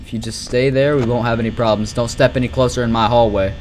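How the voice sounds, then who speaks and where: A man's voice: mid-range voice, fairly even intonation, fast, a little mellow, slight background noise. A young man giving casual, rambling live commentary on a horror game, nervous and exclamatory, with some swearing. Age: young adult. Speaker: gaming streamer.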